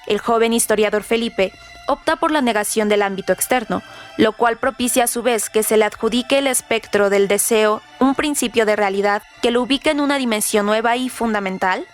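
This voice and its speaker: Female presenter for literary radio segment: formal, deliberate read, middle register, soft music underneath. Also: medium pitch. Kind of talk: scripted narration, trivia about a novel. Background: background music.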